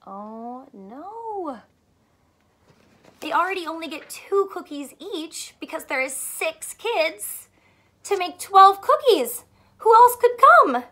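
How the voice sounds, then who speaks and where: A young woman teacher doing a read-aloud math lesson for children, animated and full of questions, speaking clearly for kids. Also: very high-pitched, takes it slowly. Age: young adult. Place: small furnished room at home, indoors.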